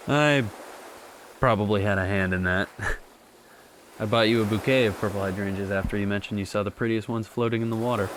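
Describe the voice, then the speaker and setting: An actor playing a young man speaking softly and wistfully to a lost love in a radio drama, with quiet laughs. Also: fairly low pitch, a little hiss or hum. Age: young adult.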